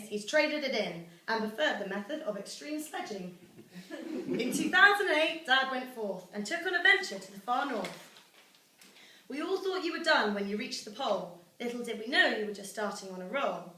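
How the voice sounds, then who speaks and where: A woman's voice: a little high, very animated, normal speed, sharp tone. A young British woman reading a rhyming celebratory speech aloud to a gathering. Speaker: daughter reading her own celebratory poem. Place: echoey room at a family celebration. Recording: echoey room, distant mic, faint noise.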